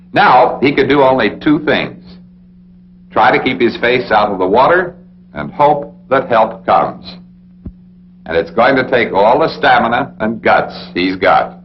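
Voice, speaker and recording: Old 60s air force training film narrator, american guy, authoritative, lots of up and down, muffled hummy soundtrack. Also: medium pitch. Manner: authoritative, deliberate, addressing the listener directly. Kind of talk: scripted instructional narration, 1960s military film. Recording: dull, noisy 1960s film soundtrack with hum.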